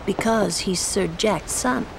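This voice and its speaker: An actress playing a stern, sardonic, protective young woman in a video game, speaking in a measured, dry, pointed way. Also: medium pitch, gravelly, background noise. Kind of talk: video game cutscene acting. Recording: music behind the voice.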